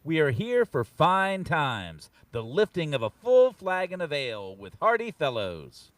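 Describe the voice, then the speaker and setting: A man reading as a swaggering fantasy prince from a comic book, a little off the microphone. His voice is fairly high and dry, with bluff, heroic old-time phrasing. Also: big pitch swings, unhurried, a bit bright, no room sound, far from the mic. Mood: hearty, heroic. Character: swaggering, hearty young male fantasy prince and hero. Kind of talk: dramatic comic book table read.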